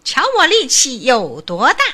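A woman voicing an arrogant, hot-tempered little male mouse who squeaks and shouts in a storybook. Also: big pitch swings, unhurried, full character voice. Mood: gloating.

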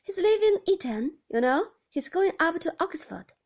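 A woman's voice: high voice, big pitch swings, moderate pace, darkish tone, pitched above the performer's own voice. A woman voicing a hearty adult woman who raises her voice to a deaf old relative.

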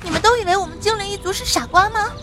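Casting: actress as fiery young elf girl, high voice, emphatic and passionate, fantasy audio drama. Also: very fast, noisy background. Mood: indignant. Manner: emphatic, impassioned, scolding delivery. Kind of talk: fantasy radio drama acting.

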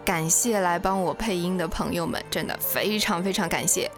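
A woman's voice: on the low side, moderate pace, over music. A woman hosting a podcast, giving an informal outro and credits as the creator of the show. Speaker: podcast host and drama creator.

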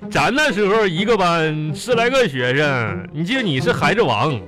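A man's voice: fairly high pitch, sing-song, moderate pace. A man playing a boastful, sentimental young former classmate in a radio comedy, chatty and melodramatic, with a Northeastern Chinese accent. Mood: teasing. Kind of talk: comedic radio drama dialogue.

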